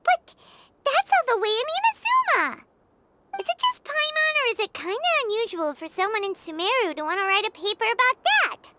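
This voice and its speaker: A woman voicing a small, chirpy, excitable female fairy companion in a video game. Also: very high voice, normal speed, heavy background noise. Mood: surprised, curious. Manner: refers to self in third person, excitable. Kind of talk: animated video game voice acting.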